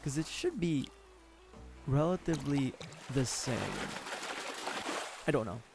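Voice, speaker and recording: A hoarse man streaming a game, chatting casually in a rambling, joking way with frequent laughs. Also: on the high side, animated, unhurried. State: hoarse, sore throat. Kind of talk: casual gameplay commentary. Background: game music.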